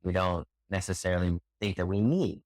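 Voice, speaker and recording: A man hosting a podcast interview, asking measured questions and affirming often. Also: low-pitched, darkish tone, no echo. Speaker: podcast host.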